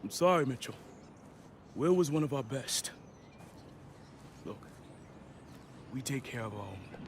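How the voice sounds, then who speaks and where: A man's voice: mid-range voice, animated, measured pace, a little hiss or hum, lightly acted. A man playing a stern, commanding Marine sergeant in a video game, with a formal, authoritative military delivery. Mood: solemn. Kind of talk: dramatic video game acting.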